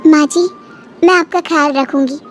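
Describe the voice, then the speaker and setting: A woman's voice: high voice, steady tone, normal speed, soft background music, lightly acted. A woman voicing a sweet, respectful young bride in a cartoon story, speaking politely. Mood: reassuring. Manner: polite, deferential.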